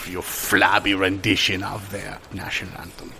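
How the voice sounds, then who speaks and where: A man's voice: low voice, monotone, normal speed, vocal fry, noisy background. A man playing an officious, smug railway border official in an improvised comedy sketch, talking in hesitant mock-reasonable bureaucratic patter. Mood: bossy.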